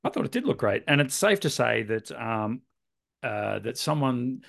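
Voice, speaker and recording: Casting: Australian male podcast co-host, gravelly and worn, keen and chatty. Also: medium pitch, sing-song, moderate pace, a bit bright. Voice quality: croaky. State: hoarse from overuse. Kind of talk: casual chat.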